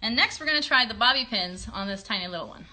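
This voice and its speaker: A woman in her thirties with a high, bright voice shows children a craft in a casual, encouraging way, often asking them questions. She is recorded on a phone in a bedroom, with faint background noise. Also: lively intonation, fast talker, rough recording. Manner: encouraging, questioning, talking to young viewers. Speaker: children's puppet show host. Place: bedroom at home. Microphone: phone at about arm's length.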